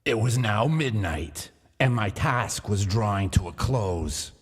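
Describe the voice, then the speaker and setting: A man reading a gothic horror story aloud in a slow, deliberate, theatrical manner, heard in a long echoing hall. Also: on the low side, expressive, husky. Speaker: reader of a gothic tale. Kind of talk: dramatic literary narration.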